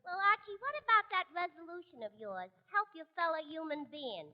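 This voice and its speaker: A woman's voice: extremely high pitch, big pitch swings, brisk. An actress playing a brassy, man-hungry young New woman in 1940s radio comedy style. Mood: pushy, curious. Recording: dull restored 1940s radio recording.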